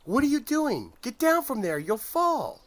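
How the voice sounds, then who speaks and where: Woman as husky, worried male office colleague. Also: high voice, big pitch swings, measured pace, heavily acted. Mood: alarmed. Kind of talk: audio horror drama acting.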